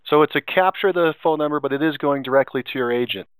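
A man's voice: a little high, fast talker, clear, resonant tone, no echo. A man hosting a podcast interview, asking probing follow-up questions.

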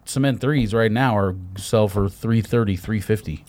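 A man's voice: on the low side, normal speed, darkish tone. A man on a casual podcast panel, talking in a blunt, matter-of-fact way. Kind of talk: casual chat about sneakers.

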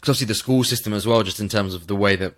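A man's voice: fairly low pitch, racing, a bit bright, dry recording, studio quality. A man in his twenties from England, hosting a podcast and asking chatty, rambling questions. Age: 20s. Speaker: podcast host. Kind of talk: casual conversation.

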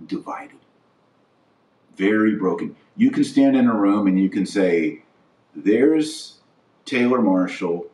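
An American man hosting a podcast, delivering an earnest political and religious monologue with emphatic repetition and building lists. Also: lowish voice. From United States. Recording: roomy echo, distant from the mic.

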